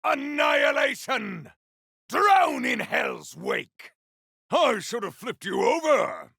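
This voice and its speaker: A man voicing a righteous, grandiose game warrior on a holy quest, with a gravelly, forceful voice and archaic, theatrical declamation. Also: very high voice, big pitch swings, takes it slowly, dry recording, studio quality, exaggerated voice. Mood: battle fury. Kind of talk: video game combat voice lines.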